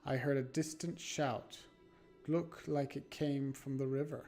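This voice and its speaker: Slow-paced man reading horror aloud over music. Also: medium pitch, steady tone, creaky, dark tone. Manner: halting dramatic reading with stumbles and self-corrections. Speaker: video game streamer. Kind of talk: live read-aloud of a horror visual novel with asides. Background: background music.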